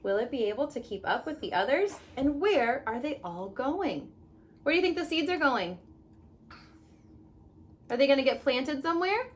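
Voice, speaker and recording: A woman teaching young children as a mother at home, reading a picture book expressively and pausing to ask simple questions. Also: high voice. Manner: expressive, instructive, asks leading questions.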